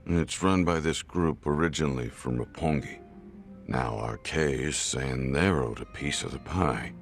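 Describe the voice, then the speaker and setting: A man voicing a sly, smug, streetwise underworld informant in a video game, his voice gravelly and insinuating. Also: unhurried, soft background music. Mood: conspiratorial. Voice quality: very deep, gravelly. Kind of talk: video game cutscene acting.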